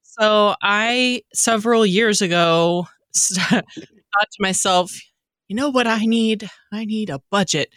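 Bright, forceful woman's voice in casual chat. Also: mid-range voice, slow, dry recording. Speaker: podcast co-host.

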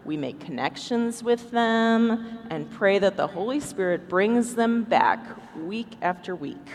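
A woman's voice: medium pitch, lively intonation, slow. A woman podcast host speaking on stage in a live show at a convention. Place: large indoor convention hall. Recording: echoey convention hall, background noise.

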